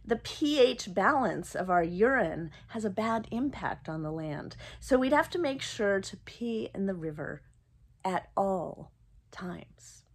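A woman in her fifties reading her own first-person story aloud expressively, with measured delivery and dramatic pauses. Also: medium pitch, expressive, husky. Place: small indoor room, plain wall.

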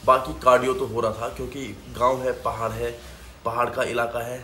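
A man's voice: mid-range voice, little pitch movement, fairly quick, pushed and bright, a little hiss or hum. Chatty man vlogging into his phone. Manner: chatty, explains step by step, mixes in English phrases. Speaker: fitness vlogger. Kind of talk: casual vlog talk to camera. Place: small room at home, then a gym. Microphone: phone camera at arm's length. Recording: echoey room with music behind.

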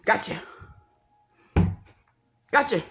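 A woman's voice: extremely slow, pushed and bright. A young woman, playfully filming a hide-and-seek game on a handheld phone as she walks through an empty house. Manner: playful, teasing, sing-song. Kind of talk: casual handheld vlog. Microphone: phone held in hand while walking.

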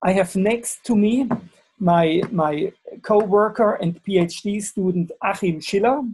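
A man with a German accent giving a webinar talk over a video call, halting and full of fillers and restarts. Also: high voice, a little mellow, slightly rough, poor audio. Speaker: webinar speaker, university lecturer. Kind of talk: hesitant presentation on a video call.

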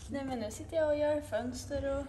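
A young woman chatting casually in a car workshop, soft-spoken with short answers. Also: high voice, long reverb tail, background noise. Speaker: visitor trying a forklift. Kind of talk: casual chat. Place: large car workshop, indoors.

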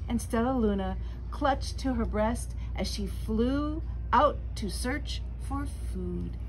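A woman in her sixties reading a picture book aloud to children in a slow, dramatic storytelling manner, with little asides. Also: fairly high pitch, poor audio. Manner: slow, dramatic, deliberate phrasing. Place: small bookstore, indoors. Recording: clear background room noise.

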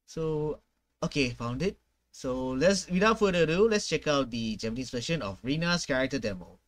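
A young adult man giving casual reaction commentary on a headset mic, halting and repeating phrases as he thinks. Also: on the high side, lively intonation. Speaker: reaction video host. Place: small bedroom. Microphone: headset boom microphone. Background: music from the video being watched.

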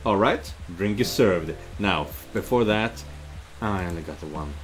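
A middle-aged man streams a game in a casual, expressive way. His pitch moves a great deal as he comments and reads text aloud. Music and rain sit behind him. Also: measured pace. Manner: expressive, exclaiming. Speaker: streamer playing a visual novel. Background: game music and rain sounds.

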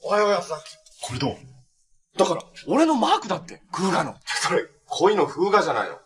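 A man's voice: sits high, wildly swooping pitch, fast talker, fairly bright tone, full character voice. A young man acting an upbeat, kind-hearted adventurer and hero in a live-action TV superhero drama, speaking in an earnest, friendly, boyish way. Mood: cheerful, casual. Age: young adult.

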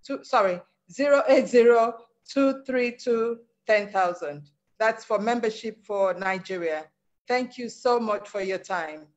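Slow-speaking woman on a video call. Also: on the high side, highs cut off.

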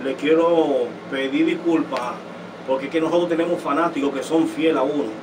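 A man's voice: a little high, a little fast. A young man, a guest musician, talking boastfully and emphatically in a studio interview. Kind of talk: casual interview talk. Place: indoor studio set. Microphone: studio desk mic. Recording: distant mic with steady noise.

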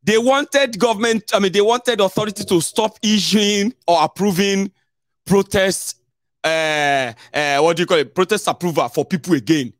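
A Nigerian man in his thirties giving sarcastic, animated political commentary into a desk microphone, with some Pidgin mixed in. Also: high voice, normal speed, dry recording, clean studio sound. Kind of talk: live stream commentary. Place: indoor home studio.

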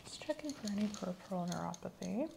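A soft-spoken woman in an ASMR medical exam roleplay, giving gentle, measured instructions as the examiner. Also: medium pitch, animated, vocal fry, noisy background. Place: small indoor room set up as an exam room.

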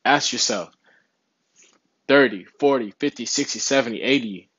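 A man's voice: mid-range voice, normal speed, fairly bright tone, slightly rough, no room sound. Laid-back young man, muffled sound. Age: young adult. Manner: laid-back, repeats "you know what I'm saying". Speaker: vlogger. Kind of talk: casual motivational health talk. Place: small indoor room with window behind.

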